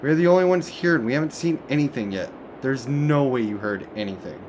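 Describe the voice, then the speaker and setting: Guy playing a scoffing young ghost hunter dude, really animated delivery, noisy home recording. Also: medium pitch, brisk, natural voice. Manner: flippant, scoffing. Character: George, sceptical, excitable young man ghost hunter. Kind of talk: amateur horror audio story voice acting.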